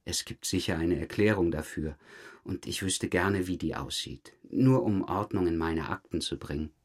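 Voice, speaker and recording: A police detective in a crime radio play. The voice is low and rough around the edges. He questions people in a measured, understated way, dryly polite but persistent. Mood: calm, probing. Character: methodical male police detective, calm, dryly insistent. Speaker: police investigator. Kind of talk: German audio crime drama.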